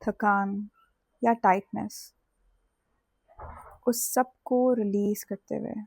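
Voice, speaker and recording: A woman with an Indian accent leads a guided sleep meditation in a soothing, deliberate way, leaving long pauses between phrases. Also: mid-range voice, fairly even intonation, gravelly, a little mellow, slightly rough, no echo. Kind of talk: guided sleep hypnosis with body scan.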